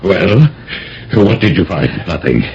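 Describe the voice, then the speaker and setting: An actor playing a middle-aged husband and weary master of the house in a radio mystery, halting and probing. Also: male voice, low-pitched, lively intonation. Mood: anxious probing. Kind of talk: 1980 radio mystery drama acting. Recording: dull old radio recording, faint hiss.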